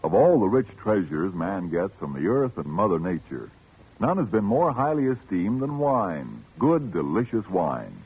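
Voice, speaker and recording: A man's voice: big pitch swings, unhurried. A man reading a persuasive commercial in an old radio broadcast. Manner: smooth, persuasive sales pitch. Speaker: commercial announcer. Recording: dull 1940s radio recording.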